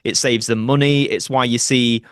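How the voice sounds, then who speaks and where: A British man speaks as a podcast guest in a casual, explanatory style. His mid-pitched voice is recorded dry, with very little room sound, and his delivery is earnest and conversational. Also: steady tone, normal speed, fairly bright tone, clean tone, no room sound. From United Kingdom.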